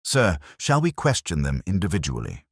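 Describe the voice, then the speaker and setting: A man's voice: sits low, huge pitch swings, normal speed, no echo, studio quality, full character voice. A man voicing a dutiful, hesitant police sergeant who reports plainly and deferentially in a mystery story.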